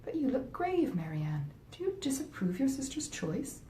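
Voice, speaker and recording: A woman's voice: big pitch swings, normal speed, dark tone. A woman voicing a sentimental, affectionate middle-aged mother in a Regency storybook reading. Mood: fond, reassuring. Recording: roomy echo, faint rumbling noise.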